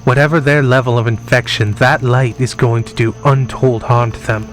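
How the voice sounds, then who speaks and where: An actor playing an enigmatic, cool-headed professor in a horror audio drama, measured and analytical. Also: male voice, medium pitch, fairly even intonation, natural voice. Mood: stern. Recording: faint music behind.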